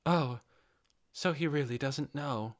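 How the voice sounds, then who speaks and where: A man voicing a bitter, guarded female lizard-person leader in a fantasy audiobook, speaking with soft, hesitant emotion. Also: medium pitch, slow pace, pitched above the performer's own voice, lightly acted. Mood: quiet realization.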